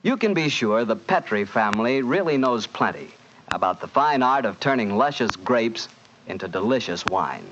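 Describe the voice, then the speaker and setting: Animated American radio announcer man. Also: medium pitch, normal speed. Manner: polished, flattering salesmanship. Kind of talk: 1940s radio announcing and sponsor pitch. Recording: muffled, noisy 1940s radio broadcast.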